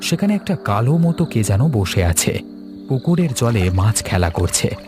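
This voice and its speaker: A man's voice: medium pitch, very animated, unhurried, music in the background. A man narrating a scripted ghost story in a dramatic manner.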